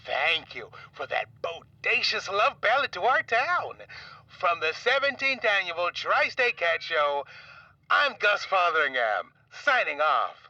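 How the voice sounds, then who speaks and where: An actor playing an upbeat, chummy young small-town reporter gushing through a 1980s radio interview in an audio comedy. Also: male voice, high voice, big pitch swings, measured pace, pushed and bright, recorded from a distance, highs cut off, a little hiss or hum, heavily acted. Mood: gushing sign-off. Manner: gushing, chummy showman patter.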